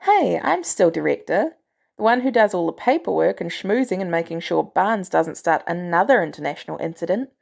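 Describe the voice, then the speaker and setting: A woman's voice: fairly low pitch, expressive, a little fast, gravelly, darkish tone, exaggerated voice. A woman voicing a brusque, commanding female agency director in an audiobook story, blunt and sardonic with an air of authority. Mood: commanding, dry.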